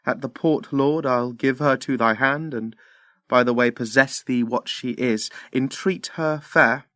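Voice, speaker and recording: A man's voice: mid-range voice, measured pace, sharp tone, no room sound, studio quality. A man playing an ardent, jealous young Trojan prince in love, delivering classical verse earnestly in an audio drama. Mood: resigned. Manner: earnest, declamatory.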